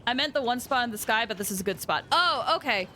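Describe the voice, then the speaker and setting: A woman game streamer chatting casually with her viewers in an excitable, chatty manner. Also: a little high, fast talker, a little hiss or hum. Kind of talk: casual livestream chat with viewers.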